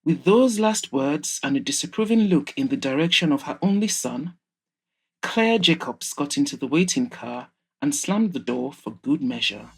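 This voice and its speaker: A woman's voice: low-pitched, lively intonation, normal speed, a little mellow, breathy edge, rough recording. A woman reading scripted narration for an audio drama as its storyteller.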